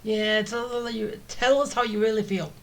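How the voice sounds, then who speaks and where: A woman's voice: on the high side, fairly even intonation, measured pace, gravelly, very noisy. A woman giving casual, informal playthrough commentary, reacting and musing as she goes. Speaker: game streamer. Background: game music.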